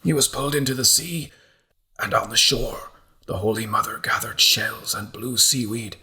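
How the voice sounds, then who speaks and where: A man's voice: mid-range voice, moderate pace, pushed and bright. A man voicing a troubled, fragile visionary with a strained, fervent delivery in an audiobook. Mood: rapt, fevered. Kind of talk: audiobook character acting.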